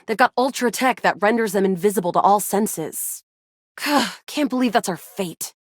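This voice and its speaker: A woman's voice: mid-range voice, moderate pace, no room sound, studio quality, lightly acted. A woman voicing a cocky young female space soldier in a video game, brash, dramatic and self-assured. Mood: cool, determined. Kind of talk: video game character dialogue.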